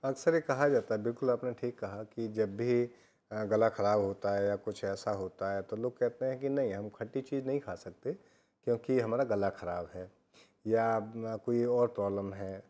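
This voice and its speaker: A man's voice: on the low side, normal speed, mellow. A man hosting an educational program, affirming and summarizing the guest's points with some fillers. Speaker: host. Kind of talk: educational talk on live program.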